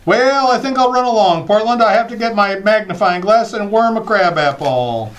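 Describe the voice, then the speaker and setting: A man's voice: high voice, expressive, normal speed, a bit bright. A man playing a wisecracking radio comedy host, quipping in a dry, folksy way in old-time radio style.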